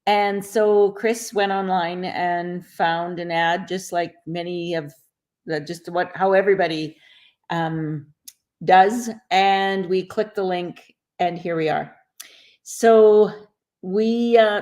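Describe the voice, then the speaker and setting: A middle-aged woman, a guest on a live video call, telling her story at a steady pace. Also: on the low side, slow. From Canada. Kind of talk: interview story.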